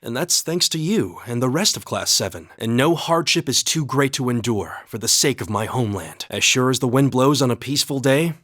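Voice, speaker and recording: A man voicing a calm, earnest young highland warrior in a video game, with measured, slightly formal phrasing. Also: dry recording, clean studio sound. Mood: sincere, warm. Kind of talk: English video game voice acting.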